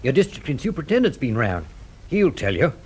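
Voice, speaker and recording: An actor playing a nervy, over-genial English factory boss in a radio drama, hesitant and flustered. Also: male voice, medium pitch, big pitch swings, fairly quick, darkish tone, husky. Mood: defensive, flustered. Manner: hesitant, flustered, chuckling nervously. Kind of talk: mid-century British radio drama acting.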